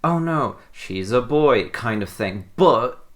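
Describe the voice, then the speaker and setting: A podcast co-host in a casual group discussion, explaining things informally in a halting way that circles back on phrases. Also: medium pitch, animated.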